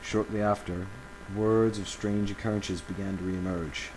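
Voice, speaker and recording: Man acting a solemn low-voiced embattled villain. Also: fairly even intonation, a little mellow, a little hiss or hum, lightly acted, poor audio. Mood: weary, reflective. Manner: halting, solemn, with dramatic pauses. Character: embattled male villain recording a grave confessional audio log. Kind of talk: dramatic in-character monologue, audio log.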